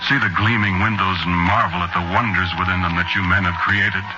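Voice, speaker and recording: An actor playing a solemn reporter from a perished world, narrating a 1940s radio drama with measured, oratorical delivery. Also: male voice, low voice, fairly even intonation, background noise, lightly acted. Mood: solemn, mournful. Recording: muffled 1940s radio broadcast recording.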